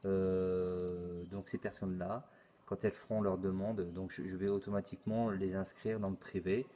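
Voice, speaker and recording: A middle-aged man hosting his channel, explaining a forum casually, halting often and repeating words with many fillers. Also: sits low, little pitch movement, dark tone. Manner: plain explanatory tone. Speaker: YouTube channel host. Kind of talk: casual screen-recorded forum walkthrough.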